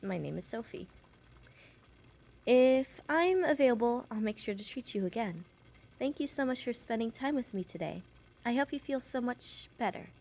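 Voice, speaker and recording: A woman's voice: fairly high pitch, creaky, a little mellow, a little hiss or hum. A woman voicing a shy, kind young witch who works as an assistant nurse, chatty and apologetic, in an audio roleplay for one patient. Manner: rambling, apologetic, nervously giggly.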